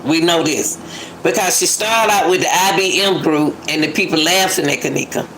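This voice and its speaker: A woman's voice: lowish voice, moderate pace, background noise. A woman giving casual reaction commentary, musing aloud and repeating her phrases for emphasis. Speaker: commentator reacting to a phone call clip.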